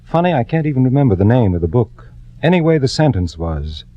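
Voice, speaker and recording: A man's voice: mid-range voice, very animated, a little fast, dark tone. Desperate everyman in old radio drama. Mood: weary, reflective. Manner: confiding, self-questioning first-person storytelling. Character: desperate, fed-up ordinary working man, Homer Bigelow. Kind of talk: 1940s radio drama narration. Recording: dull vintage radio recording, music behind.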